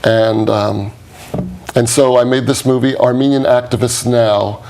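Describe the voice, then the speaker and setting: An American man speaking as a talk show guest, with a mid-pitched voice that has a gravelly edge. He explains things plainly, with an easygoing, conversational flow. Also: fairly even intonation, a little slow, a little mellow. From United States. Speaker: guest, documentary producer. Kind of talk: studio interview.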